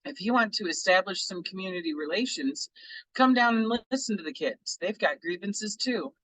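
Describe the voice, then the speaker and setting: A man reading a memoir aloud for a book club, in a relaxed and appreciative way. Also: high voice, normal speed, rough recording.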